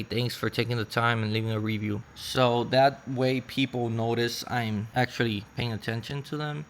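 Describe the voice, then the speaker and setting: Man, native Spanish speaker, rambling casual vlog, mid-pitched voice, faint background hiss. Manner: rambling, self-correcting, uneven pace. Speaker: Etsy seller vlogger. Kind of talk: casual vlog with screen walkthrough.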